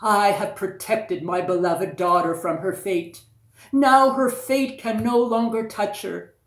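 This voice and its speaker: A woman's voice: mid-range voice, slow pace, voice pushed up. A woman storyteller voicing a joyful, protective Sultan and doting father in a folktale, exultant and declamatory. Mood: joyful relief. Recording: echoey, roomy sound.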